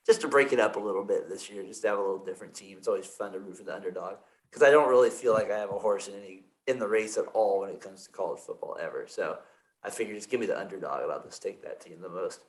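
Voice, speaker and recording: A man's voice: on the low side, quick pace. A man hosting a casual sports podcast, rambling with fillers and restarts. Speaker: podcast host.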